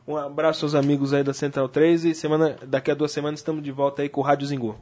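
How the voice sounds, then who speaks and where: A man's voice: a little high, little pitch movement, fast talker, a little mellow. A man with a Brazilian accent hosting a radio interview, asking hesitant questions with frequent murmured agreement. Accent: Brazilian Portuguese. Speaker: radio show host.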